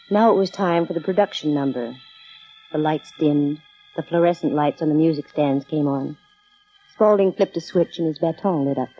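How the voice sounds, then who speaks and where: An actress playing a cool, wisecracking female private detective in 1940s radio style, brisk, dry and self-assured. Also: sits low, breathy edge. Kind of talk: 1940s radio acting, first-person detective narration. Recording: dull vintage radio recording, music underneath.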